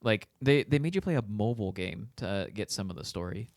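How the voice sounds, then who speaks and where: A slightly hoarse man co-hosting a casual podcast, throwing in deadpan jokes. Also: fairly low pitch, fairly quick, no room sound, studio quality. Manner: joking, deadpan one-liners. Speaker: podcast co-host. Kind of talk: casual chat.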